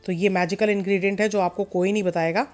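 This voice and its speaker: Woman, mid-pitched, calm cooking-show voice-over with light music behind. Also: fast talker. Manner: calm step-by-step instruction, mixing in English words. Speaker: cooking channel host. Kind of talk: recipe voice-over.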